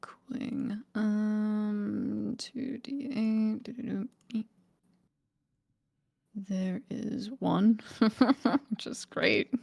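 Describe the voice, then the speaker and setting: Woman, game player, creaky, unhurried, casual, playful muttering. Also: medium pitch, steady tone, a little mellow. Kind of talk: casual chat during a game.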